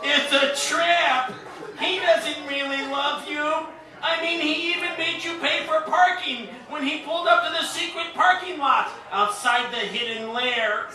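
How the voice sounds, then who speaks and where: Very shrill, naive sidekick. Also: male voice, unhurried, sharp tone, full character voice. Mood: alarmed, pleading. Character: squeaky, naive, whiny male sidekick. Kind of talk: parody old-time radio comedy acting. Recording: very echoey, distant.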